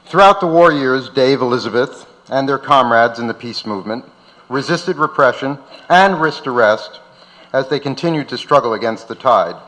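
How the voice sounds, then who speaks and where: A man's voice: medium pitch, animated, moderate pace, fairly bright tone, poor audio. A man narrating from a script aloud as the host of a live event.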